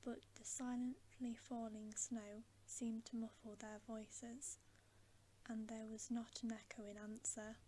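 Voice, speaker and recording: A woman reading a storybook aloud in a hushed, soothing ASMR whisper. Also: a little high, level tone, slow, slight background noise, rough recording. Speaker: ASMR narrator.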